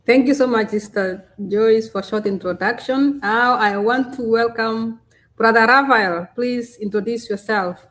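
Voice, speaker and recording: A woman's voice: fairly high pitch, unhurried, a bit bright. A woman, hosting a live stream in a chatty way, with many fillers and restarts. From Kenya.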